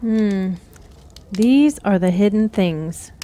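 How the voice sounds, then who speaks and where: A woman playing a cryptic, sorrowful wise woman who counsels youths in measured riddles in a fantasy radio drama. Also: medium pitch, expressive, dark tone, soft background music. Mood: probing, cryptic. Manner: measured, riddling, archaic storyteller phrasing.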